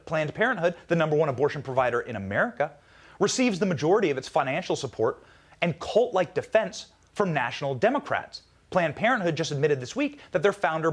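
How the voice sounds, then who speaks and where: Male, high and very lively pitch, emphatic political speechmaking with dramatic pauses.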